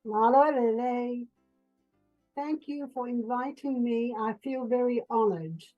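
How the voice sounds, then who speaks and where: An older woman from Fiji, an author and psychotherapist, speaking in an earnest, measured way in a radio interview. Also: fairly high pitch, highs cut off, faint music behind.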